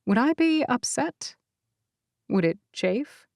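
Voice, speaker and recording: Expressive woman narrator, unhurried and lively. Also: high-pitched, gravelly, no room sound, studio quality. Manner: expressive, deliberate phrasing. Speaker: audiobook narrator. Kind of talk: scripted first-person novel narration.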